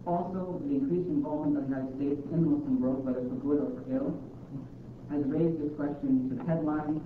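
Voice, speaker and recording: A man moderating a public talk, giving a thoughtful academic comment with hesitant "uh" pauses. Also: a little high. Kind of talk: lecture-style commentary. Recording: echoey hall from far off, tinny and noisy.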